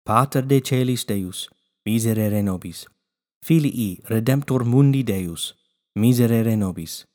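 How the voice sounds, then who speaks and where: A man hosting a prayer podcast, still congested after losing his voice, who moves from quick announcements to a slow, measured litany. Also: fairly low pitch, a little mellow. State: congested, recovering from lost voice. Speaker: podcast host. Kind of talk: podcast talk and prayer recitation.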